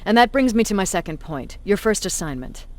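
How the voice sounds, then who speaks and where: An actress playing a blunt, authoritative resistance commander in a video game, tough and sardonic. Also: female voice, mid-range voice, big pitch swings, fairly quick, darkish tone, natural voice. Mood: serious, briefing.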